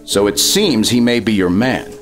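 An actor playing a sly, self-important government official in a retro 1950s radio drama, smooth-talking and insinuating. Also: male voice, lowish voice, expressive, normal speed, soft background music, lightly acted. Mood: probing, guarded.

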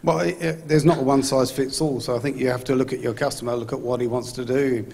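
A man's voice: mid-range voice, steady tone, quick pace, creaky, a little mellow. A man on a conference panel, speaking as an aviation executive into a handheld mic. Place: large conference hall stage. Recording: long hall reverb, faint noise.

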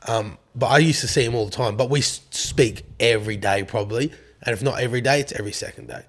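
A man with an Australian accent and a raspy voice, chatting casually as a guest on a podcast, full of fillers and swearing. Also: medium pitch, quick pace. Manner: laid-back, swears freely, filler-heavy. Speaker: rapper guest.

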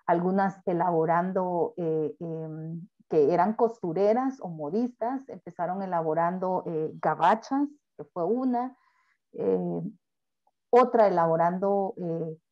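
A woman, speaking as a panelist in a webinar presentation, earnest and halting with frequent fillers. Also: on the low side, muffled recording.

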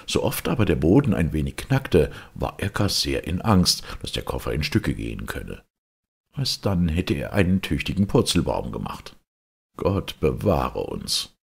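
Male fairy-tale narrator: gravelly, highly animated, theatrical storytelling. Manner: theatrical, varied.

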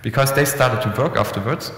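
A young man presents at a conference in an easygoing, conversational way, often restarting his sentences. His mid-pitched voice has a gravelly edge. The headset-mic sound carries the long, echoing reverb of a hall. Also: fairly quick. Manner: relaxed, conversational, frequent restarts and fillers. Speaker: conference speaker. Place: conference room, indoors.

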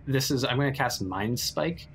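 A man playing a tabletop roleplaying game, improvising casually and haltingly as he thinks aloud. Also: medium pitch, animated, a bit bright, a little hiss or hum.

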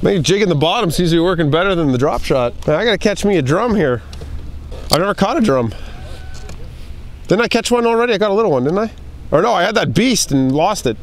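High-pitched, exclamatory man out on the water. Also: expressive, quick pace. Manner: playful, exclamatory, folksy. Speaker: host of a fishing vlog. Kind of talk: casual excited vlog commentary. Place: outdoors on a small open boat on a river.

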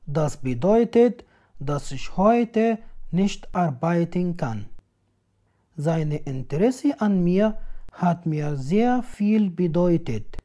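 Very slow, mellow woman reading sentences. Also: fairly low pitch, animated. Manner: slow, deliberate, clearly enunciated. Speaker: language teacher. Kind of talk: slow read example sentences, each twice. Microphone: voice-over.

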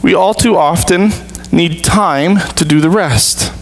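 A man in his thirties, a pastor, preaching an earnest sermon with measured, deliberate pauses from a church stage. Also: fairly high pitch, faint music behind, poor audio.

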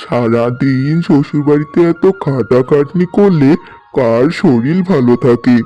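A man's voice: medium pitch, a little slow, warm tone, close to an everyday voice. A man voicing an earnest, protective middle-aged village father in a cartoon story. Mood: concerned. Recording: music bed under the voice.

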